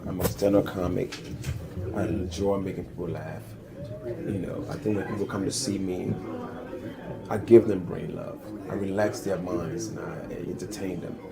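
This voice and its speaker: A man who is a stand-up comedian, talking in a loose, jokey, rambling way. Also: lowish voice. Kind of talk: casual riff played as a show clip.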